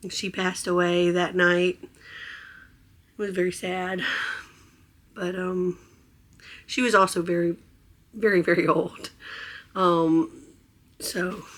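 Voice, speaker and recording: A hoarse woman who has nearly lost her voice, chatting in a casual, gushing vlog aboard a cruise ship. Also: on the low side, fairly bright tone. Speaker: vlogger. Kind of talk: casual travel vlog chat.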